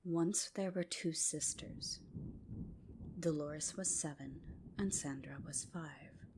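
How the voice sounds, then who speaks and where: A woman narrating a folk tale as ASMR, with a soft, whispery, hushed bedtime delivery. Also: low voice, slow, noisy background. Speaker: storyteller. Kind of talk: ASMR storytelling, scripted narration.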